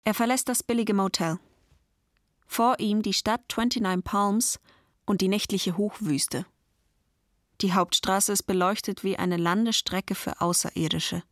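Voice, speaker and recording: A woman narrating an audio drama in a deliberate, measured storytelling manner. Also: medium pitch, steady tone, dry recording, studio quality.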